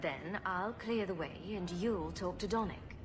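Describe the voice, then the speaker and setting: A woman's voice: lowish voice, a little slow, a crowd in the background, lightly acted. A woman playing a sarcastic, teasing and confident rogue adventurer in a video game cutscene, glib in her delivery. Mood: firm.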